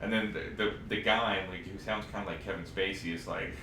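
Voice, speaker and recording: A man in his thirties chatting casually as a podcast co-host, rambling and halting as he goes. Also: low voice, steady tone, distant mic. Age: 30s. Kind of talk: casual chat about old games. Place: echoey room, live stream podcast recording. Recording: roomy echo, noticeable background hiss.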